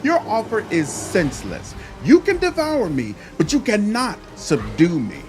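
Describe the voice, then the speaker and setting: A man playing a fanatical demon servant, pitched high and swooping as it sneers. The delivery is theatrical and fervent, in a fantasy game with music behind. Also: huge pitch swings, measured pace, darkish tone, heavily acted. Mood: defiant scorn. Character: mocking, fanatical demon servant with a mutilated body.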